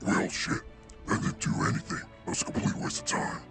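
A man voicing a cocky, dim, foul-mouthed vigilante hero in a gravelly growl for an amateur comedy game dub. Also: brisk, natural voice. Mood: deadpan. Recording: music behind the voice.

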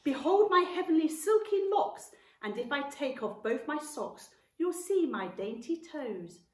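A woman's voice: very high-pitched, very animated, takes it slowly, pitched above the performer's own voice, exaggerated voice. A woman voicing a vain, bossy, cruel fat aunt in a pompous, theatrical storybook reading. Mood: preening, smug. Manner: pompous, self-admiring, declamatory. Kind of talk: theatrical audiobook character reading. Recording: roomy, echoey, distant mic.

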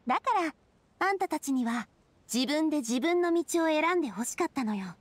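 A woman's voice: high-pitched, expressive, brisk, dry recording. A woman voicing a confident, straight-talking young female ruler in a Japanese video game, encouraging and casually frank. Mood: earnest, assured. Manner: casual, frank, assured speech.

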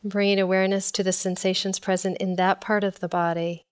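A woman leading a guided body scan meditation in a calm, measured, instructional way. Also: mid-range voice, smooth.

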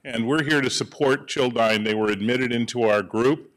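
A man in the audience of a panel, speaking on a handheld mic in a conference room, making a joking, animated comment. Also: medium pitch, moderate pace. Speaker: audience member, board member of an energy group.

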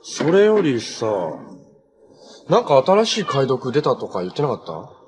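An actor playing a cheerful, earnest, easygoing young hero in a TV drama, talking in a casual, boyish way. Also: male voice, mid-range voice, very animated, unhurried. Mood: pondering, casual. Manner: casual, friendly, boyish phrasing. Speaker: actor in a TV superhero series. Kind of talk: naturalistic TV drama acting.

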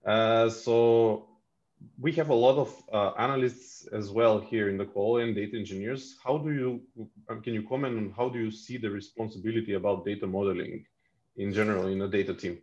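A man moderating the question round on a call. He runs the session in a friendly, brisk way. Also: on the low side, steady tone, a little mellow. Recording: dull lo-fi call audio.

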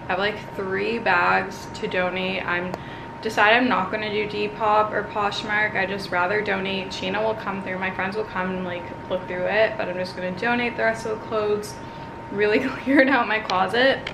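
A woman's voice: mid-range voice, steady tone, moderate pace, gravelly, noisy background. A woman, chatting casually to camera in an informal, meandering way, as if thinking aloud. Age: 20s. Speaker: lifestyle vlogger. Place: home apartment kitchen, sometimes street. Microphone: handheld or propped camera.

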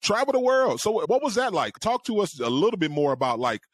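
A man in his forties hosting a radio interview in a studio, friendly and animated as he asks questions. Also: sits high, fairly quick, no echo. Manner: animated, friendly, rising when asking. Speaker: radio show host. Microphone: studio mic with headphones.

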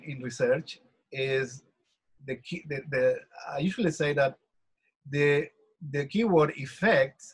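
A man with a slightly high, husky voice and a walks through research slides in an online seminar. He explains carefully, often restarting his phrases as he thinks aloud over a video call. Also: low-quality recording. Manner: explanatory, hesitant with frequent restarts. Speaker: panelist presenting research. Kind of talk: academic talk over slides.

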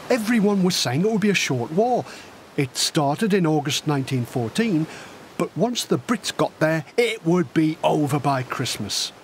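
A man's voice: on the high side, slight background noise. A man reading his own children's history book as a dramatic scripted narration. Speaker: author reading own audiobook.

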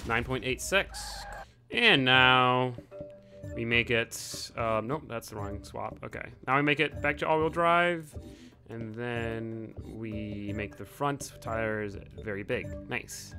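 A man's voice: medium pitch, slow. A young man commenting casually on a racing game as channel host, often breaking into frustrated outbursts and swearing. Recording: game audio and music under voice. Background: car engine sounds and music.

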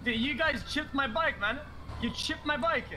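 A man's voice: extremely high pitch, moderate pace. A man playing an aggrieved, whiny complainer in an online game roleplay, grumbling at everyone around him. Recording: game voice chat with chatter behind.

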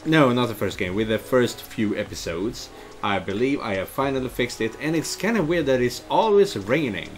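A man in his thirties giving casual, halting let's play commentary and reading game text aloud. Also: mid-range voice, animated. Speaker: game streamer. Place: indoor room at home. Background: game music and rain sounds.

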